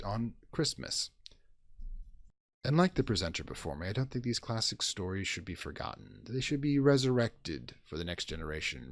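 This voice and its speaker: A man presenting a horror radio series with theatrical, deliberate scripted narration and a macabre flourish. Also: sits low, creaky, dry recording, clean studio sound.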